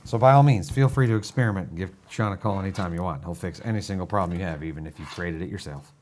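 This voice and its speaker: A man's voice: deep voice, fast talker, darkish tone, slight background noise. A man building a gaming computer on a live stream, joking dryly with his co-host. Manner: teasing, dry humor. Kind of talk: casual live-stream banter.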